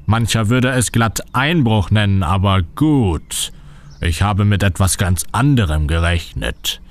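A man's voice: lowish voice, lively intonation, a little slow. A man playing a creepy old reporter. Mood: ominous. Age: older. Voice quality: theatrical, ominous, melodramatic. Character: shady older male reporter, creepy and theatrical. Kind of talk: comic radio play.

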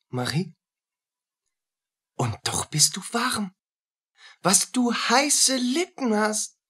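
An actor playing a haunted, poor soldier of thirty in a radio play, brooding and halting in his speech. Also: high voice, a bit bright, breathy edge, heavily acted. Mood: menacing, intimate. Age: 30s. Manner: slow, halting, brooding delivery.

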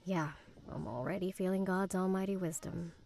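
Low, gravelly, sardonic tough girl. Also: moderate pace. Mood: sarcastic. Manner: deadpan, sardonic. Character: blunt, sarcastic, tough teenage girl roommate. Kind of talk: visual novel voice acting.

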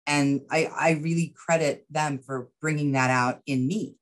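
Middle-aged lady with a really low voice, casual interview chat over video call. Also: steady tone, measured pace. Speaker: writer-producer and interview guest. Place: indoor room with bookshelves.

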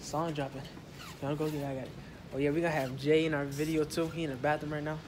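A man's voice: a little high, level tone, quick pace, noisy background. A young man in a school hallway, vlogging casually into a handheld phone, rambling in loose slang with lots of fillers. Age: young adult. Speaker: vlogger, high school student. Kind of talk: casual vlog chat. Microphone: phone camera held close.

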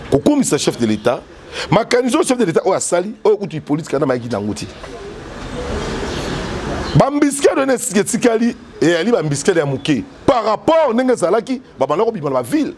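Congolese man, middle-aged, emphatic political talk, high lively voice, faint background hum. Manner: emphatic, gesturing, switching French and Lingala. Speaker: interview guest, former soldier and politician. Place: indoors by a yellow wall. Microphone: furry handheld mic held close.

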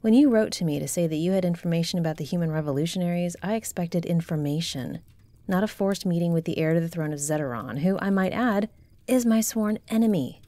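Woman as a low, mellow, proud noblewoman commander. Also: fairly quick, no echo, lightly acted. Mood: haughty. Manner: formal, haughty. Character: proud, guarded noblewoman military commander, conscience-stricken. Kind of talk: fantasy audio roleplay acting.

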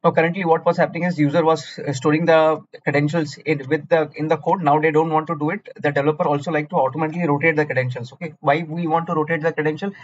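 Casting: Indian man, rapid blunt tutor voice, slightly higher pitch, dull lo-fi voice-over. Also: little pitch movement, slight background noise. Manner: blunt, repetitive, scolding lecturing. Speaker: cloud certification tutor. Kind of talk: screen-recorded exam walkthrough.

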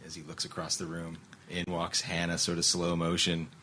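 A man's voice: low-pitched, completely flat delivery, moderate pace, pushed and bright, a little hiss or hum. A middle-aged man hosting an interview podcast, talking casually, laughing often and restarting his sentences. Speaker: podcast host and producer.

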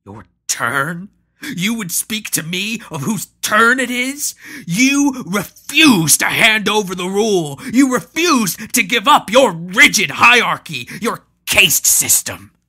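A storyteller voicing an ancient, arrogant old male tyrant who roars and cackles, in a breathy voice. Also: mid-range voice, big pitch swings, slow pace, sharp tone, pitched above the performer's own voice, full character voice. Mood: mocking. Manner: roaring, cackling, grandiose.

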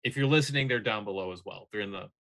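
A man's voice: lowish voice, rapid-fire, creaky, fairly bright tone. A man in his thirties chatting casually as co-host of a film podcast. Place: indoors, small room.

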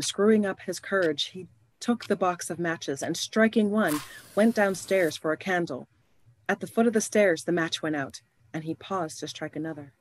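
A suspenseful storyteller, played by an actor, narrates a radio horror drama. The delivery is grave and scripted, with clear noise in the recording. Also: medium pitch, natural voice, rough recording.

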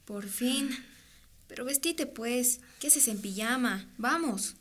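A loyal, insistent teenage girl played by a woman in a radio drama. She speaks firmly and encouragingly in a fairly high voice. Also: takes it slowly, fairly bright tone. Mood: urging. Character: loyal, firm, urging teenage girl best friend.

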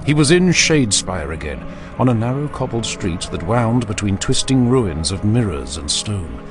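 A man narrating a dramatic audiobook in a solemn, deliberate storytelling manner. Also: fairly low pitch, very animated, music in the background.

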